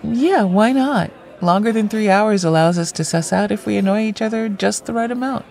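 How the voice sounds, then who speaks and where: A woman's voice: mid-range voice, moderate pace, gravelly, close to an everyday voice. An actress playing a blunt, playful woman near forty in audio drama dialogue, speaking directly. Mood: flirty, candid. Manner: direct, animated delivery.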